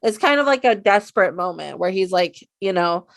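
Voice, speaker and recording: A woman co-hosting a casual fan chat, relaxed and encouraging. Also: on the low side, brisk, gravelly, no echo, clean studio sound. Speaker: co-host.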